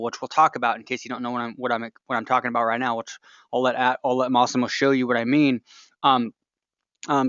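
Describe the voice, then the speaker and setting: A man hosting a webinar in a casual, unscripted way, speaking haltingly and often breaking off his sentences. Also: mid-range voice, a bit bright, dry recording. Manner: hesitant, halting delivery with broken-off sentences. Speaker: webinar host. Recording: dull, lo-fi webinar audio.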